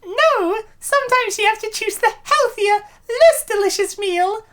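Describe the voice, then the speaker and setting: Comic dub role: amateur performer as reluctant, fretful young woman, extremely high-pitched, questioning delivery. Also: unhurried, a bit bright. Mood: hesitant, worried. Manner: questioning, hesitant, protesting. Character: Shelley, reluctant young woman worried about overeating.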